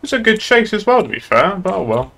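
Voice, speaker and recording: A man's voice: high voice, sing-song, fairly quick, creaky, sharp tone. A young British man chatting casually and laddishly on a gaming livestream. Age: young adult. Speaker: game streamer. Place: indoor room at a streaming desk.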